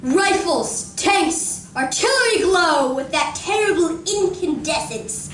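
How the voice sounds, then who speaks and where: Female narrator, acted live, grave and suspenseful radio play style, high voice, unhurried, echoing room. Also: animated, a bit bright, far from the mic, exaggerated voice. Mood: ominous dread. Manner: theatrical, suspenseful, with drawn-out dramatic pauses. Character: grave, awestruck female narrator of a science fiction story. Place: community room of a library, live performance. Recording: roomy live recording from afar, faint noise.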